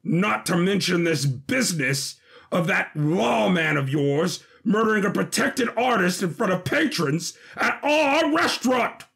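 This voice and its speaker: Man putting on a haughty duke, raised pitch, pompous, demanding, indignant, impatient, story character. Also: expressive, a little slow, creaky, a bit bright, slightly rough, exaggerated voice. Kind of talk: audio fiction character voice.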